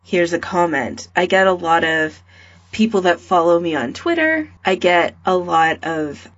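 A woman's voice: on the low side, expressive, a little slow, no echo, highs cut off. A woman hosting a podcast in a casual, conversational style. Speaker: podcast host. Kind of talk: casual chat.

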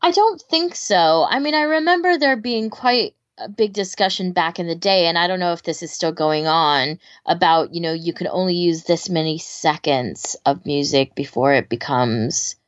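A woman's voice: sits low, normal speed, no room sound, highs cut off. A woman co-hosting a podcast, talking in a casual, conversational way. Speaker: podcast co-host. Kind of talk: casual discussion.

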